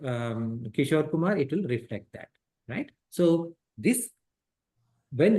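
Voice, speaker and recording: A man's voice: medium pitch, warm tone. A middle-aged man teaching an interactive class on a scripture text through a headset microphone. He explains step by step, repeats points and quizzes his students.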